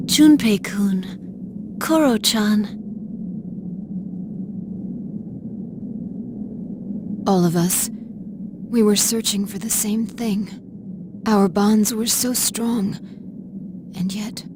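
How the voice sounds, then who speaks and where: An actress playing a gentle but resolute older sister in an English anime-style video game, speaking in a measured, earnest, formal way. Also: female voice, mid-range voice, background noise, lightly acted. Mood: wistful.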